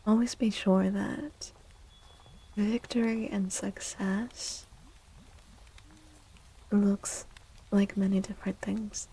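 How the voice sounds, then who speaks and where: Slow, half-whispering woman with creaky softness. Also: medium pitch, steady tone, low-quality recording. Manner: gentle, slow, encouraging. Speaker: streamer, tarot reader. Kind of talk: soft-spoken ASMR livestream reading.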